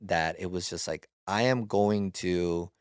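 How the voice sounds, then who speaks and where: A man in his twenties, a podcast guest, telling his story in a relaxed, halting way with frequent restarts. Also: low voice, clear, resonant tone, no echo, clean studio sound. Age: 20s. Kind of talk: casual storytelling.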